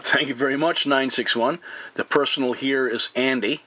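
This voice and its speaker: A man's voice: mid-range voice, fairly even intonation, moderate pace, pushed and bright, no echo, poor audio. A man working as a CB radio operator, speaking in courteous, formal phrases in a two-way exchange. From Newfoundland, Canada. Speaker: CB radio operator making a contact video.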